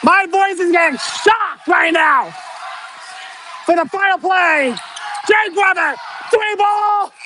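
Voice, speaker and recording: A man giving excited live basketball play-by-play, shouting the calls in a strained voice that is giving out. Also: extremely high pitch, takes it slowly, pauses often. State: strained, losing his voice. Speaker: amateur play-by-play announcer. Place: indoor school gym. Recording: noisy gym with ball bounces.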